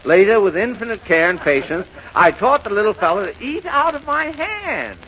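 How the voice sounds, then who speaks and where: A man's voice: sits high, sing-song, normal speed, a bit bright. An actor playing a fussy, boastful man who spins absurd tall tales in 1930s radio comedy, fluttering and sputtering through a noisy, muffled recording. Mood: wistful, boastful. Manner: fluttery, sputtering, self-important storytelling.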